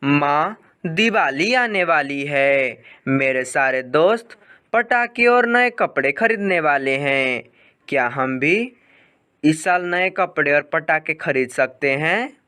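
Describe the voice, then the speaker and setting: Narrator as wistful little boy bird, smooth voice. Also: mid-range voice, lively intonation, slow, sharp tone. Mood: hopeful pleading.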